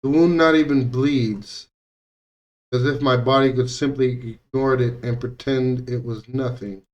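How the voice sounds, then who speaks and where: A man's voice: medium pitch, steady tone, measured pace, distant mic, low-quality recording. A man reading visual novel text aloud as dramatic narration, now and then stumbling over words and rereading them. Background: music.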